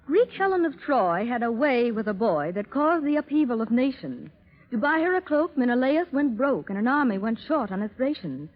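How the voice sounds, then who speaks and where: A woman's voice: a little high, sing-song, normal speed. A woman reciting rhymed comic advertising verse rhythmically in 1930s radio style. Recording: tinny narrow-band vintage radio recording.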